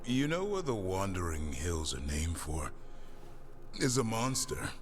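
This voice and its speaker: A man's voice: low-pitched, big pitch swings, a little slow, music in the background. An actor playing a boastful monster-hunting warrior in a video game, blunt and swaggering as he talks. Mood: boastful, amused.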